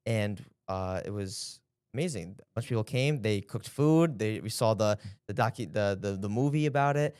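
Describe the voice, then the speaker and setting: Young male guest, low smooth voice, earnest and halting, dry close mic. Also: lively intonation, a little mellow, dry recording. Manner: earnest, hesitant, many fillers. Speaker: interview guest, former intern. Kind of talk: casual interview answers.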